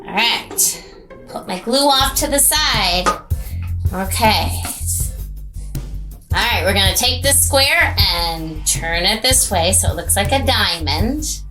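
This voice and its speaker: A woman's voice: medium pitch, lively intonation, takes it slowly, music in the background. A woman craft teacher guiding children step by step in simple, encouraging phrases.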